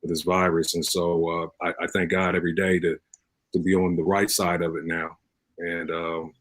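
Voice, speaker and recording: A man talking earnestly as an interview guest, in measured, hesitant phrases. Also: deep voice, flat delivery, a bit bright, no room sound. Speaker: interview guest, cast member. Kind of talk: earnest casual talk.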